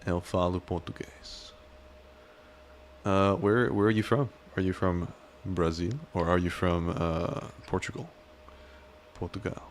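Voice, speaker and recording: A man's voice: sits low, flat delivery, measured pace, a little hiss or hum. A man streaming live, chatting casually with viewers in a rambling, joking, self-deprecating way.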